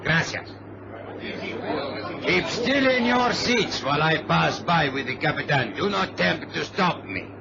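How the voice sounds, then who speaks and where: A man's voice: fairly high pitch, animated, a little slow, gravelly, crowd noise. A man playing a polite but menacing hijacker in a radio drama. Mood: polite menace. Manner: courteous, coolly threatening. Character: polite, menacing Latin male hijacker with a gun. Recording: noisy, dull vintage radio recording.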